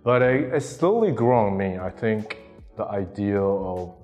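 Man in his 30s from Taiwan, very low voice, halting interview answers on a lapel mic, a long reverb tail and faint music behind. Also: expressive, darkish tone. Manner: hesitant, many ums and restarts. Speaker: interviewee artist.

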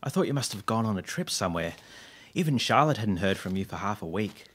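A man's voice: lowish voice, expressive, fast, a bit bright, husky. A man playing a polite, earnest diarist, narrating an audio drama in formal storybook phrasing. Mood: polite, curious. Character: male diarist, polite, earnest, easily startled friend. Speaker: narrator and character.

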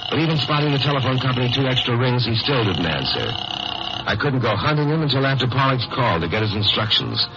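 An actor playing a wry, wisecracking lawyer-sleuth in a tongue-in-cheek 1950s radio crime drama. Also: male voice, medium pitch, lightly acted. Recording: muffled old radio broadcast, music behind.

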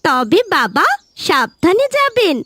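Squeaky, caring little helper. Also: female voice, big pitch swings, slow, fairly bright tone, exaggerated voice. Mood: anxious. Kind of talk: cartoon fairy tale acting.

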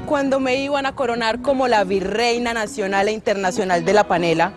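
A young woman from Colombia talks with cheeky, self-assured humor, laughing often as she sells herself. Her voice sits fairly high. Music plays behind her. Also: fairly quick. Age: 20s. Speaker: beauty pageant contestant. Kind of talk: reality show audition and confessional interview. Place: TV studio stage and interview set.

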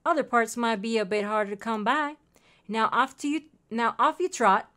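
A woman's voice: a little high, moderate pace, fairly bright tone. A young woman from Mexico with a Spanish accent, streaming a farming game and reading its dialogue aloud, with chatty asides and gasps. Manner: chatty, reads character lines aloud, reacts with gasps.

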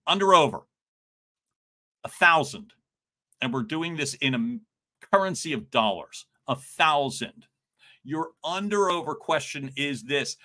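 A middle-aged man coaching on communication in a live stream, loud and expressive, joking with his viewers, speaking from a room at home into a studio mic. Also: mid-range voice. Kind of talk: livestream coaching talk. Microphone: large studio mic in front.

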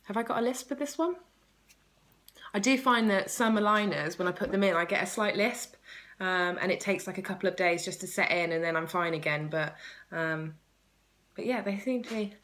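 A woman's voice: medium pitch, moderate pace, fairly bright tone. A woman in her thirties chatting casually and enthusiastically to camera, recorded on a phone in a room at home. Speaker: vlogger. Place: small room at home by a window, indoors. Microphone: phone at arm's length.

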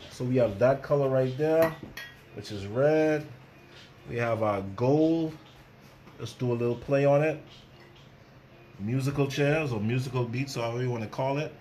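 A man's voice: mid-range voice, darkish tone. A man presents a casual cooking demo as a chef. He is chatty, with a mid-range voice and a habit of repeating phrases for emphasis. Light background noise sits behind him. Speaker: chef and cooking show host.

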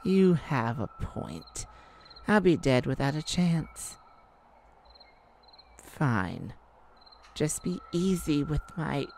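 A wounded alien star played by a woman, proud and baffled by humans. Her voice sits a little low and she talks very slowly, breaking into exclamations, in the manner of an intimate roleplay drama. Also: slight background noise. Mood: wary, defiant. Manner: halting, exclaiming, slightly formal wording. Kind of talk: roleplay audio drama addressed to the listener.

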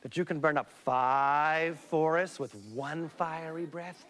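A man's voice: high voice, animated, unhurried. A man voicing a brave, clever young princess who pleads eagerly with repeated questions.

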